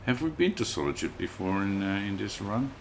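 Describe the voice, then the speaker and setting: Low-voiced man narrating his gameplay. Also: fairly quick, creaky, background noise. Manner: relaxed, thinks aloud and chats with viewers while playing. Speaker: livestreamer playing a video game. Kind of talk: casual let's-play commentary.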